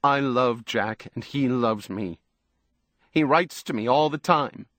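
A man's voice: medium pitch, a little slow, fairly bright tone, no echo, studio quality, voice pushed up. A man voicing a troubled, needy young woman in audiobook dialogue, with an earnest, pleading manner. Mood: fierce, wounded. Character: troubled young woman, fragile, defiant, trusting, emotionally needy.

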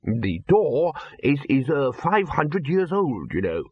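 A man's voice: fairly high pitch, sing-song, measured pace, breathy edge, narrow, tinny audio, voice pushed up, full character voice. Man doing a nervous stammering bursar. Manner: hesitant, stammering, placating. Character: nervous fussy male college bursar. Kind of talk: audiobook character voice.

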